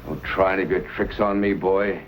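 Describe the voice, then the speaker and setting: An actor playing a cocky hired knife fighter in a Western drama, taunting and threatening. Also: male voice, sits low, fairly even intonation, brisk, slight background noise, lightly acted, poor audio.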